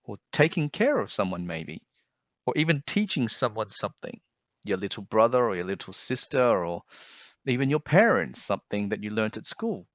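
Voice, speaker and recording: A man reading a picture book aloud and then talking to children about it, explaining things gently and simply. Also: lowish voice, no room sound, muffled recording.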